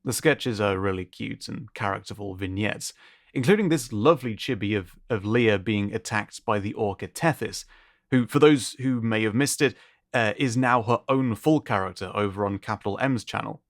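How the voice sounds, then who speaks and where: A man's voice: fairly low pitch, normal speed, no echo, clean studio sound. A man presenting a showcase as its host, in scripted commentary that is appreciative and descriptive. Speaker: host of an art contest showcase.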